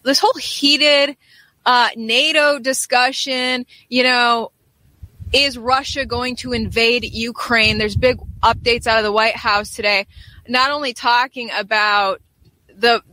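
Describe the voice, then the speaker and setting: Bright-voiced upbeat woman hosting casual chat. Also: on the high side, measured pace, rough recording. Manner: upbeat, informal. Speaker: talk show host.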